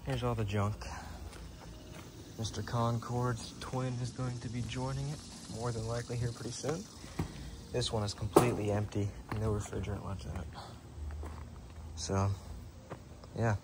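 A man's voice: medium pitch, fairly even intonation, normal speed, long pauses. A man working as an HVAC technician, narrating a job in an offhand, matter-of-fact way outdoors on a phone camera. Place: outdoors beside AC units, rainy. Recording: loud outdoor background noise.

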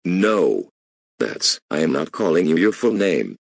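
A man's voice: sits low, sing-song, unhurried, vocal fry, slightly rough, no room sound, heavily acted. A man voicing a stressed, defiant employee who whines and complains. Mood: defensive. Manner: whining, complaining. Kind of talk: amateur voice acting.

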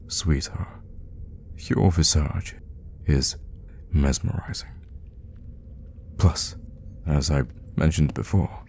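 A man playing a mysterious, outwardly friendly vampire hermit in an ASMR audio roleplay, talking in a halting, softly teasing way with quiet menace. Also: very deep voice, gravelly, slight background noise, exaggerated voice. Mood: smitten. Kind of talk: ASMR audio roleplay, intimate in-character monologue.

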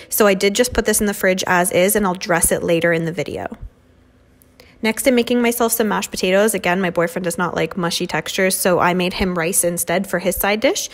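Chatty young woman narrating a recipe. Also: mid-range voice. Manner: chatty, many "um" and "like" fillers. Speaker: beauty vlogger cooking at home. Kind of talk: casual cooking voice-over. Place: home kitchen.

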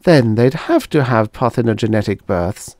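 A man voicing an anxious, quick-minded small-town woman. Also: medium pitch, expressive, darkish tone, dry recording, studio quality, pitched above the performer's own voice, natural voice. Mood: urgent. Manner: urgent, raised voice.

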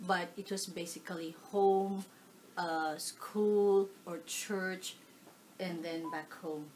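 A middle-aged woman from the Philippines with a Filipino accent, answering oral history questions in a living room, animated, with many fillers. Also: fairly low pitch, takes it slowly, a little hiss or hum. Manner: animated, gestures while talking, frequent fillers. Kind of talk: casual oral history interview. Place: living room, seated on a leather sofa.

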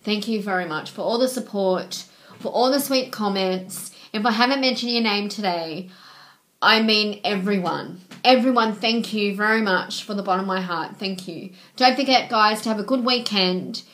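A young woman, hoarse from a cold, earnestly thanking her viewers one by one in a webcam vlog, full of "um"s and repeated thanks. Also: mid-range voice, moderate pace, fairly bright tone. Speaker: vlogger. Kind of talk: casual thank-you messages to viewers. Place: small bedroom indoors. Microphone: webcam at desk.

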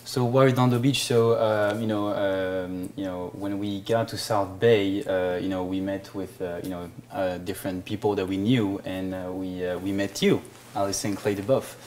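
Deep-voiced French-accented man, 30s, filler-heavy and halting. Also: fairly even intonation, a little mellow, a little hiss or hum. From France. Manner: halting, full of "you know" fillers. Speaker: bakery owner. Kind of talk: casual interview. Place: small studio set.

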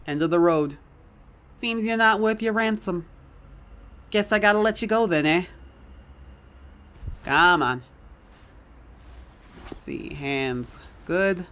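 A man's voice: fairly high pitch, a bit bright, slight background noise, full character voice. A man playing a callous, mocking kidnapper with casual menace, in an audio roleplay. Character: callous male kidnapper, mocking thug disposing of a hostage.

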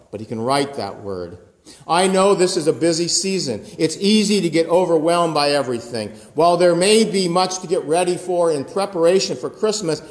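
A pastor in his fifties preaches slowly and chattily, with asides to his listeners. His voice sits a little high for a man. It rings out through a big, echoing church room. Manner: conversational preaching with gestures and asides. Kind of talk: sermon. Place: church sanctuary with high ceiling. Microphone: phone camera close up, looking upward. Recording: echoey church with long reverb.

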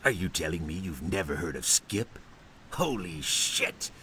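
An actor playing a gruff, boastful, irritable pirate smuggler in a video game, brash and gravelly. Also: male voice, sits low, animated, measured pace, pushed and bright, slight background noise, full character voice. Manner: brash, cursing.

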